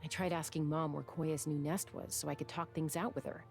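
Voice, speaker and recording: Low, creaky, composed young woman. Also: steady tone, darkish tone, faint music behind. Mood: regretful, resolute. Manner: measured, reflective. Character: young woman raised by saurians, composed, wistful, guarded. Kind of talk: video game dialogue acting.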